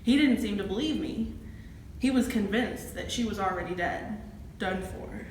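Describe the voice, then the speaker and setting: A woman's voice: medium pitch, normal speed, lightly acted. A woman acting a shaken, plain-spoken campus safety officer testifying in an amateur courtroom drama. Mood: tense, recounting. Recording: echoey, distant room with clear noise.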